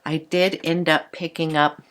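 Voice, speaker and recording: A woman beauty vlogger chatting brightly through a makeup tutorial, a little congested from a cold. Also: deep voice, flat delivery, measured pace. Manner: bubbly and chatty, fond of "a little".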